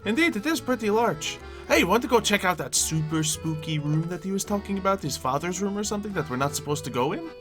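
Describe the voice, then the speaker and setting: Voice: mid-range voice, lively intonation, fast, hardly any pauses, close to an everyday voice. A performer in a Minecraft roleplay playing an eccentric, mischievous schemer with a theatrical, slightly formal and playful way of talking. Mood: playful, sly. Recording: music behind the voice.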